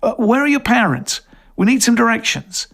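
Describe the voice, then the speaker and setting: A chatty woman out on a walk, acted by a male storybook reader, pitched high and lilting with a husky edge. She is questioning and playfully cheeky. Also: lively intonation, normal speed, fairly bright tone, exaggerated voice. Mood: friendly, probing.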